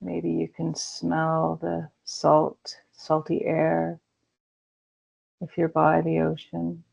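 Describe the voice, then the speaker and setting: Slow, gravelly-voiced woman guiding meditation. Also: low voice, monotone. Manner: slow, soothing, gentle pauses. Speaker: meditation guide. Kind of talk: guided meditation. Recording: dull lo-fi recording.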